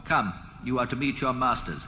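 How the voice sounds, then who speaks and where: An actor playing a fussy old alien planet designer in a radio comedy, rambling in a resigned, mildly peevish way. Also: male voice, medium pitch, expressive, normal speed, sharp tone. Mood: grave, courteous. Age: older. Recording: echoey hall, clear background noise.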